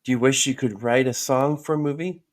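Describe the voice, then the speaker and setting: A podcast host asking questions in a relaxed, conversational way. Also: medium pitch, brisk. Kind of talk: casual interview questions.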